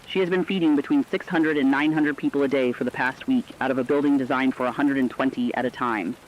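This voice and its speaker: A man's voice: mid-range voice, fairly even intonation, quick pace, dull audio, a little hiss or hum, lightly acted. A man playing an earnest radio correspondent, telling human stories in solemn, scripted narrative reporting. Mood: solemn, moved.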